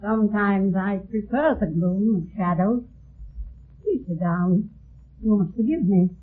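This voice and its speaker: A woman's voice: medium pitch, measured pace, husky. An actress playing an older, genteel but sinister landlady in an old radio mystery. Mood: brooding, polite. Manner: genteel, wistful, faintly sinister politeness. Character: eerie, genteel older landlady who broods in shadows. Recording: muffled, tinny old radio recording.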